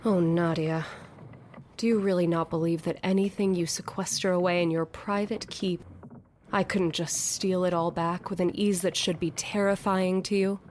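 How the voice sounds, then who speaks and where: An actress playing a haughty, threatening schemer in a theatrical audio drama, with faint noise behind. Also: female voice, on the low side, steady tone, moderate pace, darkish tone, lightly acted. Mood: gloating menace.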